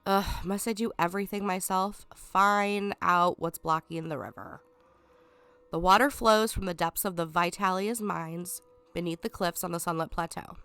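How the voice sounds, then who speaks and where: A stuffed-up woman reading the lines of a scheming, sarcastic male lion who calls himself the rightful king, in a sly, condescending way with soft, whispered asides. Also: lowish voice, fairly even intonation, normal speed, clean tone, no room sound. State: stuffed up from a cold.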